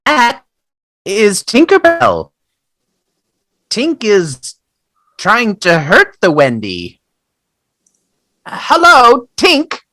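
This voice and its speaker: A woman's voice: on the high side, wildly swooping pitch, extremely slow, long pauses, bright, forceful tone, exaggerated voice. A woman playing a naive, eager little boy in an audio play, with an earnest, dramatic delivery. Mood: urgent, excited.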